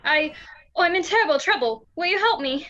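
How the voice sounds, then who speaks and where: A woman's voice: high voice, lively intonation, a little fast, bright, forceful tone, a little hiss or hum. A young woman playing a frightened, secretive spy in an amateur radio play, speaking urgently and dramatically. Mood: anxious, pleading. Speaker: student actor in a school radio play. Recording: dull lo-fi home recording.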